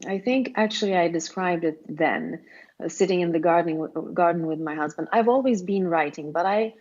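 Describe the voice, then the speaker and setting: A woman's voice: fairly low pitch, normal speed, darkish tone, dull audio. A middle-aged woman from Sweden speaking English with a Swedish accent, talking earnestly and reflectively as an author being interviewed, often restarting her sentences. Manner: earnest, reflective, frequent restarts and light laughs. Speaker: guest author. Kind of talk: interview conversation.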